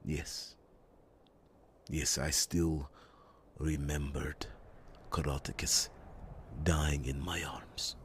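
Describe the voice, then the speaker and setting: A man playing a loyal, earnest captain of a royal guard in a fantasy ASMR roleplay, speaking formally and reassuringly in a breathy voice with dramatic pauses. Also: extremely low pitch, steady tone, a little hiss or hum, full character voice. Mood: grave, sorrowful.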